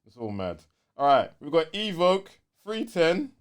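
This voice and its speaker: Man, London accent, slightly high voice, very varied intonation, casual slangy podcast host. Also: unhurried, clear, resonant tone. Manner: slangy, animated. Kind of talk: casual gaming podcast chat.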